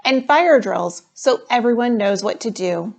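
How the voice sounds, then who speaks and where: A woman voicing a calm, thorough male schoolteacher in a storybook, in a measured, instructive manner. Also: on the high side. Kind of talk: storybook read-aloud.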